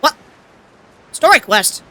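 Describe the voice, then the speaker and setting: A woman giving casual, unfiltered gameplay commentary as a streamer, slangy and sweary. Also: slow. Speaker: game streamer.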